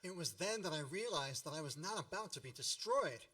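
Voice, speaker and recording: A man's voice: on the high side, expressive, brisk, bright, forceful tone. A man playing a nostalgic grown narrator recalling his boyhood in a radio play, wry and tongue-in-cheek. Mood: wry nostalgia. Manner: storytelling, tongue-in-cheek, ornate wording.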